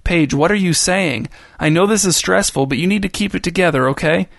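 A man voicing an injured father who shouts at his daughter, raw with pain and anger. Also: high voice, fairly quick, creaky, no echo, clean studio sound. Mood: angry. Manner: shouting, strained. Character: grown man playing an injured, furious father.

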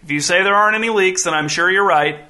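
Man acting a hollering, high-pitched ship's mate. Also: fairly even intonation, fairly quick, sharp tone. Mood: resigned, trusting. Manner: calling out from a distance. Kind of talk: storybook character voice.

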